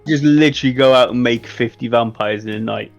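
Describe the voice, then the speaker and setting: A man's voice: mid-range voice, a little fast, vocal fry, muffled recording, faint music behind. A man playing a tabletop roleplaying game, talking casually with the other players.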